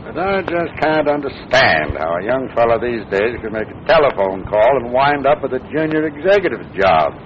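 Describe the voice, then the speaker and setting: An American actor playing a kindly older father in a measured, thoughtful way in 1940s radio drama. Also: medium pitch, very animated, natural voice. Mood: mildly puzzled. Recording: muffled, noisy 1940s radio recording.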